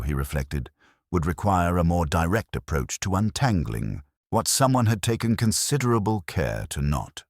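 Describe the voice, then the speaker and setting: A man reading a scripted mystery story as a narrator, in a measured, literary manner. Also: low voice, no echo, studio quality. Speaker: audiobook narrator.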